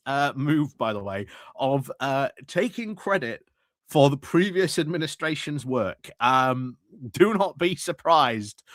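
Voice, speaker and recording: A man's voice: a little high, a bit bright, dry recording. A man giving casual live political commentary, sardonic and scornful, repeating phrases for emphasis. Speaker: streamer commentating on a council meeting.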